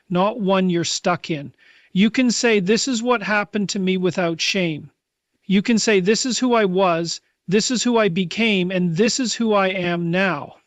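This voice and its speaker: A man delivering a scripted motivational speech, speaking earnestly and in measured phrases straight to the listener. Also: high voice, no room sound.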